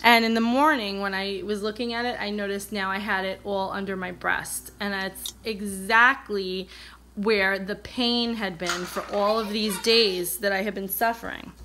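A woman's voice: mid-range voice, steady tone, normal speed, bright, forceful tone, slight background noise. American woman chatting with a slight cold. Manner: candid, rambling, acts out remembered dialogue. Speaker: vlogger, coloring channel host. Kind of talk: casual chatty personal storytelling. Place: indoors at a table, coloring on camera.

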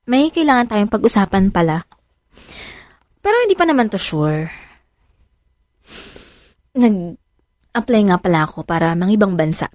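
A woman's voice: mid-range voice, animated, a little slow, long pauses, darkish tone, dry recording, studio quality, close to an everyday voice. A woman playing a devoted, determined mother in a radio drama, coaxing and pleading expressively. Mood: placating, pleading. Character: devoted, determined young mother and frustrated mistress.

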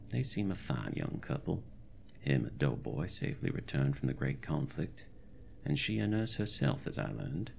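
A pompous old-time country doctor, acted by a man speaks in an extremely low, heavily creaking voice. His florid, wry diary delivery swoops expressively, made for a horror audio drama. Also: darkish tone, slight background noise, exaggerated voice.

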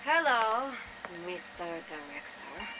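A woman's voice: lowish voice, big pitch swings, slow pace, background noise, heavily acted. An actress playing a defiant, weary young woman held captive in a video game, guarded in the way she talks. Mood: bitter sarcasm. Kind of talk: dramatic video game voice acting.

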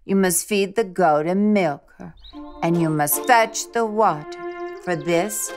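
Woman reader playing old grandmother witch, storybook, firm, instructing, very measured pace. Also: lowish voice, music in the background. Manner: deliberate, instructing.

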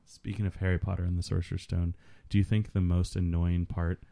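A man's voice: sits low, little pitch movement, brisk, vocal fry, dark tone. A man co-hosting a casual film commentary, joking and riffing with friends. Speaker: podcast co-host.

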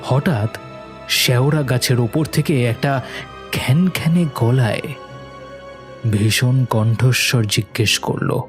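A man's voice: mid-range voice, slow, pauses often. A man narrating a scripted horror story for a cartoon in a storytelling style. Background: background music.

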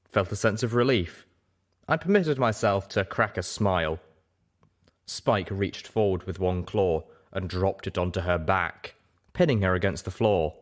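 A man reading a first-person story aloud as scripted narration. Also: low-pitched. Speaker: audiobook reader, show host.

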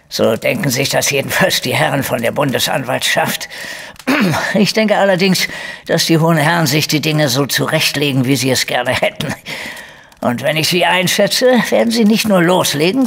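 A man playing a weary, evasive police investigator in a crime radio play, gruff and blunt, with a husky, gravelly voice. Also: a little high, fairly bright tone, exaggerated voice. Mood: resigned, blunt. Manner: blunt, hesitant, throat-clearing. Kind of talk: German crime audio drama dialogue.

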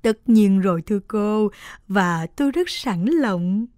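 A man's voice: high-pitched, expressive, unhurried, heavy background noise. A man voicing a sly, coaxing, flirtatious tour guide in a cartoon. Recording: music behind the voice.

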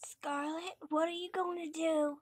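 A woman plays a mild teenage schoolgirl in an amateur comic dub. Her voice is high and close, with a dry sound, and she talks in a questioning way. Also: level tone, a little slow, no echo. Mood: worried, quiet.

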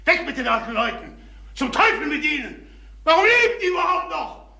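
An actor playing a nervous, defensive older travelling salesman who confesses, in a halting, brooding radio crime drama manner. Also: very high voice, big pitch swings, vocal fry, sharp tone, exaggerated voice. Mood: agitated, bitter.

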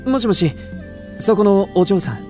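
An actor playing an earnest, lovestruck young widower of old Japan in a radio drama, speaking politely and formally. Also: male voice, sits high, lively intonation, normal speed, warm tone, soft background music. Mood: smitten, courteous. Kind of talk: radio drama acting of a ghost tale.

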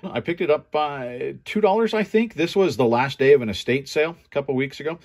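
A man's voice: fairly high pitch, lively intonation, fairly quick. Casual man recapping his online sales. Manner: informal, conversational. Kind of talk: casual show-and-tell commentary.

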